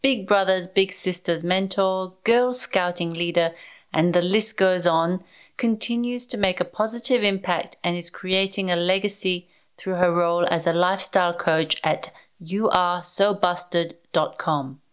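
A woman's voice: fairly low pitch, fairly even intonation, unhurried, clean tone. A female podcast co-host reading upbeat introductions and asking encouraging, enthusiastic questions. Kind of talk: scripted intro, then conversational interviewing.

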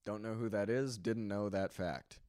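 A young man co-hosting a casual movie podcast, trading deadpan, ironic jokes. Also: fairly low pitch, moderate pace, no echo, studio quality. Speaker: podcast co-host. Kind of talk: casual movie-review chat.

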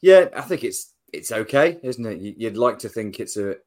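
A man's voice: lowish voice, animated, normal speed, a little mellow. A man who is a guest on a football podcast, thinking aloud and hedging his views with fillers. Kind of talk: casual football podcast chat.

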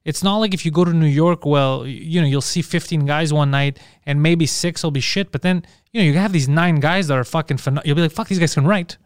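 A man from Montreal telling a story in a casual podcast chat, animated, swearing freely and laughing often. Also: a little high, a little fast, hardly any pauses, clean tone, no room sound, clean studio sound. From Montreal, Canada. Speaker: comedian guest.

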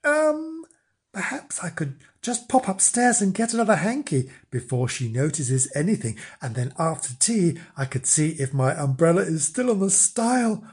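A man's voice: a little high, very animated, moderate pace, darkish tone, slightly rough. A man voicing a timid, flustered henpecked husband in a children's story, hesitant and apologetic as he stammers. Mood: anxious scheming.

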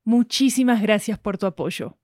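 An Argentine woman giving a scripted podcast announcement in a brisk, friendly, clear way. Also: mid-range voice, darkish tone. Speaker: podcast host and narrator.

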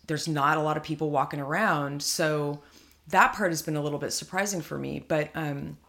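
A woman's voice: deep voice, fairly even intonation, moderate pace, creaky, fairly bright tone. A woman from California co-hosting a podcast, chatting casually and thinking aloud with many fillers and restarts. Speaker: podcast co-host. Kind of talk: casual chat.

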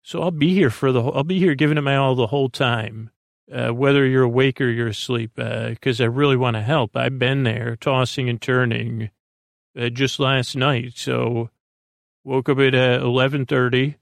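A man hosting a bedtime podcast in a creaky voice, rambling in a halting, meandering way and often trailing off mid-thought. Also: mid-range voice, steady tone, no room sound. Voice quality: gravelly, creaky. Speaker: host of a bedtime sleep podcast. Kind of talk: rambling, soothing monologue. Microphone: close podcast microphone, dry.

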